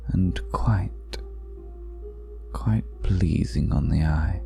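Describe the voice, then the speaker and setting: A man playing a seductive, ageless vampire in an intimate ASMR roleplay, speaking slowly and half in whispers, teasing with quiet menace, close to the microphone. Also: faint music behind, exaggerated voice. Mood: amused, teasing. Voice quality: very deep, gravelly. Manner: slow, breathy half-whispered delivery with lingering pauses.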